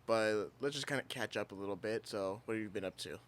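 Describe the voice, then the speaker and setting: Man, low voice, casual co-host guiding the talk. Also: fast talker. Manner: easygoing, steers the conversation. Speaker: podcast co-host. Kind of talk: casual chat. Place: outdoors.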